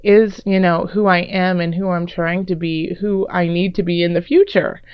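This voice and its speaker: A woman reading tarot cards in a casual, rambling way, her voice raspy and a little hoarse from a recent illness, with many fillers and restarts. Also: on the low side, steady tone, normal speed, no echo, muffled recording. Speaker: tarot reader.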